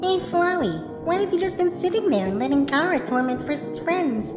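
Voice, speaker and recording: A woman playing a small, spiteful creature in a fan comic dub, shrill and taunting. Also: very animated, normal speed. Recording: echoey hall reverb with music.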